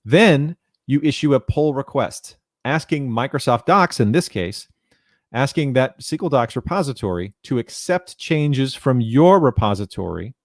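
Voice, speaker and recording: A man in his thirties giving an explanatory technical presentation in a measured, instructional way, speaking into a headset. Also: mid-range voice, a little mellow, no room sound, studio quality. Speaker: presenter, documentation content developer. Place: small indoor room, home video call.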